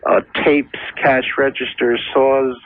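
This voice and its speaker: An American man in his fifties calling a radio advice show by phone, speaking casually and a little haltingly. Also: mid-range voice, flat delivery, no room sound. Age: 50s. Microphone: telephone. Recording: narrow muffled phone line on radio.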